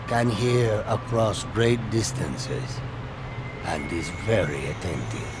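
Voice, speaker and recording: Serene hermit monk, game cutscene, low swooping pitch, measured and formal, loud music behind. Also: male voice, heavily acted. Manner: measured, musing, formal delivery. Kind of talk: scripted video game cutscene dialogue.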